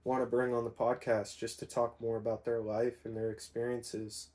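A man in his twenties, co-hosting a casual podcast with his mother. His voice is mellow and dark with a fairly flat delivery. He rambles loosely with plenty of fillers. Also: medium pitch, normal speed. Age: 20s. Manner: relaxed, rambling, many fillers. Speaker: podcast co-host. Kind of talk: casual conversation.

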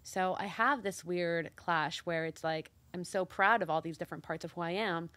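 A woman's voice: on the low side, moderate pace, a bit bright, no echo. A woman from Mexico co-hosting a casual talk show, speaking earnestly and reflectively with many fillers. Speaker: co-host. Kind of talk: casual chat.

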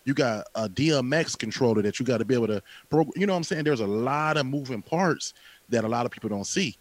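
Animated man in his late thirties, dry close mic. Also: medium pitch, fast talker, dry recording. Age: late 30s. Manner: animated, repeats phrases for emphasis, casual slang. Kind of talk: casual podcast conversation.

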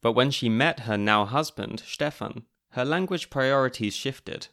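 A man's voice: mid-range voice, a little slow, gravelly, fairly bright tone. A man with a British accent, hosting a podcast and narrating its scripted segments in a wry, chatty way. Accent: British English. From Britain. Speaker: podcast host.

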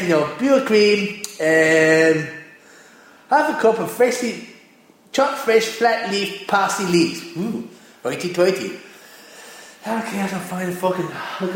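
A swearing, grumbling Irish cook put on by a man with a high, swooping voice that lurches up and down. He rants gruffly at the ingredients in a very echoey room. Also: a bit bright, slight background noise. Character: foul-mouthed crude Irish male cook. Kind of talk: comedic cooking parody.

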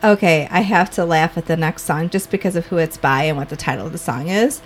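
A woman's voice: low voice, fairly quick. Chatty woman with a Chicago accent. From Illinois. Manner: chatty, giggly, rambling asides. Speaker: podcast co-host. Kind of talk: casual podcast chat.

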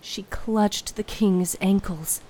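A woman narrating a fiction podcast in a measured, suspenseful, dramatic storytelling style. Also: medium pitch, warm tone, slight background noise, poor audio.

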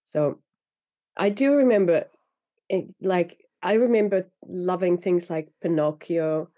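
A woman's voice: lowish voice, slow pace, mellow, no echo, lo-fi sound. A woman author from Finland whose first language is Finnish, chatting loosely with fillers and restarts. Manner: rambling, many fillers and restarts, laughs often. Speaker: author guest. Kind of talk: casual interview chat.

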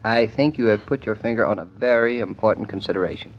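A man's voice: lowish voice, fast, a little hiss or hum, lightly acted. An actor playing a genial husband in his forties in a 1950s radio comedy, with a hesitant, drily amused delivery. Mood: wry. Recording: dull old radio broadcast recording.

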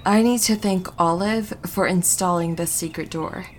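A woman's voice: lowish voice, moderate pace, a little hiss or hum, close to an everyday voice. A woman playing a reluctant superhero in a comic audio drama, with a dry, understated manner. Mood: wry.

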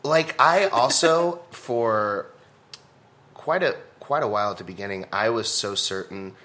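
A man from Texas, a comedian and speaker, chatting as a podcast guest with a loud, animated delivery and frequent laughter. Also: lowish voice, unhurried. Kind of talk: casual conversation.

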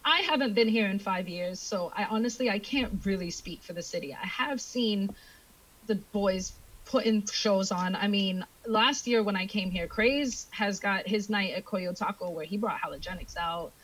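A woman's voice: medium pitch, normal speed, a bit bright, low-quality recording. A woman from England, a guest chatting casually on a podcast, telling stories in a chatty way and swearing freely. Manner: chatty storyteller who acts out quoted voices, swears freely.

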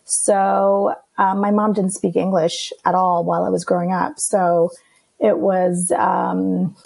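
A woman's voice: lowish voice, flat delivery, takes it slowly, creaky, a little mellow. A woman from Queens whose was Greek, a podcast guest who talks hesitantly with many ums. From Queens, New York. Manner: hesitant, lots of ums and uhs. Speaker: guest, personal stylist. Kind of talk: casual interview conversation.

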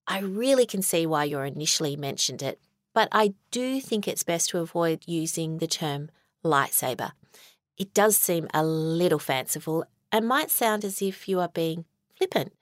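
A woman playing an earnest, bureaucratic high school principal, speaking in a measured, formal deadpan for a comic audio story. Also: no room sound, clean studio sound. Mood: tactful, firm. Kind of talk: acted comic monologue in an audio short story.

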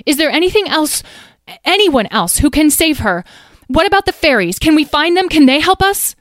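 A woman's voice: a little high, very animated, moderate pace, dry recording, studio quality, pitched above the performer's own voice. A woman voicing an earnest young girl who is a knight in training. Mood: panicked. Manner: eager, urgent.

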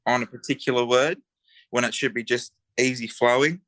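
A man with an Australian accent from western Sydney, speaking in a clear, bright voice. He talks in an easygoing, meandering way, pausing to hunt for words. The sound is dry and close. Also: mid-range voice, fairly even intonation, no echo, rough recording. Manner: laid-back, searching for words, trails off. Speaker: guest. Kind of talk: casual chat.

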